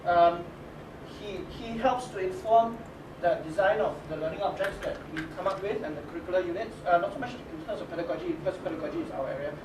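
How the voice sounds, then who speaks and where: A high-pitched man with a Singaporean English accent talks his audience through a workshop in stop-start phrases. The room is echoey and noisy, and he sounds set back from the mic. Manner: halting, many restarts and "okay?" checks. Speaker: workshop leader introducing his team. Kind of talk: casual spoken presentation to teachers. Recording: echoey room from a distance with noise.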